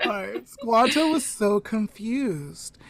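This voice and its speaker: A woman with a mid-range voice tells a story at an unhurried pace to her podcast co-host. She lets her lines trail off into a soft near-whisper. Between the story beats she adds chatty asides and corrects herself. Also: mid-range voice, expressive, background noise.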